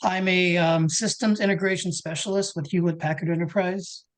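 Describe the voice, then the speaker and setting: A man's voice: sits high, fairly even intonation, moderate pace. A man in his forties giving a casual self-introduction as a dating show contestant. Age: 40s.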